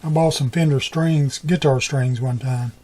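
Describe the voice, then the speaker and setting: A man's voice: medium pitch, steady tone, a little slow, mellow. A middle-aged man giving a casual hands-on tutorial, talking in a folksy, colloquial way and half muttering to himself as he works. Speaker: repair hobbyist.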